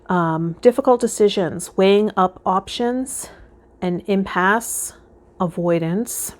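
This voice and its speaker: A woman hosting a tarot channel, talking and reading guidance aloud in a measured, instructional way. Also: mid-range voice. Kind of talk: vlog talk with reading aloud from tarot guide.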